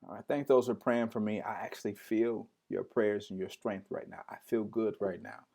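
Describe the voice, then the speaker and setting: A man, speaking in a fairly low voice, leads a streamed lesson on Hebrew words. He says each one several times and spells it out slowly, letter by letter. He restarts phrases often and talks directly to the people watching. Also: steady tone, creaky, dark tone. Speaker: teacher leading an online Hebrew lesson. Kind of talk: live-streamed religious teaching.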